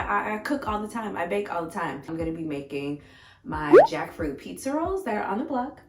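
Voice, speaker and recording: Casual vlogger, American woman, lowish slightly raspy voice, expressive, recorded away from the mic. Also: noisy background. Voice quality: a little raspy. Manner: informal, expressive, talks directly to viewers. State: slightly hoarse. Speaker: vegan food vlogger and host. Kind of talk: casual chatty life-update vlog. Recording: echoey room, distant mic, faint music.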